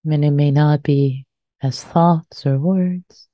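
A woman meditation teacher leading a guided meditation in a soft, breathy voice, gently and unhurriedly, with long pauses, on a dull lo-fi recording. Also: low voice, fairly even intonation, no room sound.

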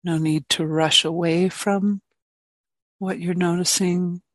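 A woman meditation teacher giving guided meditation in gentle, spacious phrases with long pauses between them. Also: lowish voice, fairly even intonation, no echo.

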